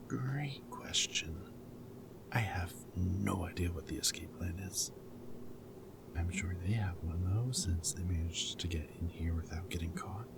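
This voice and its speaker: A man's voice: deep voice, creaky, full character voice. A man playing a goofy, flirty, protective rebel captive in a dystopian roleplay audio drama, with a wry, intimate way of talking. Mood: relieved, wry. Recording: background music, loud ambient noise in places.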